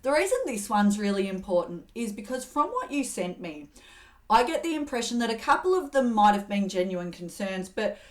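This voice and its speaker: A middle-aged woman hosting an advice show, coaching in a steady, earnest way and acting out example phrases. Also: mid-range voice, normal speed. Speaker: host giving communication advice. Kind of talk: advice talk to camera.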